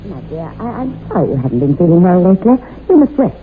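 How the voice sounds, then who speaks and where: An actor voicing a falsely sweet, menacing old aunt with affected gentility, in a radio horror drama. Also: male voice, high-pitched, sing-song, quick pace. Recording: tinny, hissy old radio drama recording.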